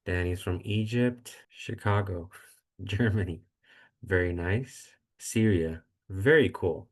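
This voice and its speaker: A man's voice: low-pitched, takes it slowly. A young man from Pakistan, a student intern, casually presenting a careers workshop, with fillers and restarts. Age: young adult.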